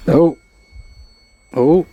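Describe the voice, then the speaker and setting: A woman's voice: sits low, drawn-out words, narrow, tinny audio, soft background music. A woman giving casual commentary as the host of a game let's-play.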